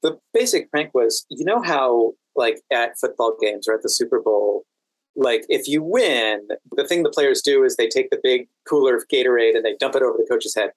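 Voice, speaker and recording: An American man in a relaxed interview conversation, recounting anecdotes with deliberate pauses and dry amusement. His voice sits at a middling pitch, and the sound is close and dry with no room around it. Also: dry recording, rough recording. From Washington DC, United States. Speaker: podcast guest.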